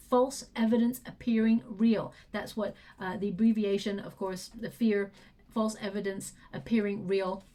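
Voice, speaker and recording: A woman giving a tarot reading, her tone mellow and dark. She talks softly and reflectively, working through ideas aloud. Her voice is going hoarse, with frequent throat clearing. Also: a little high. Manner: soft, reflective, thinking aloud. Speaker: tarot reader.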